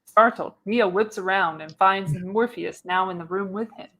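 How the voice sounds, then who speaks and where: A woman's voice: medium pitch, moderate pace, fairly bright tone, poor audio. A woman narrating the stage directions of a screenplay in a radio play reading. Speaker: narrator, podcast host.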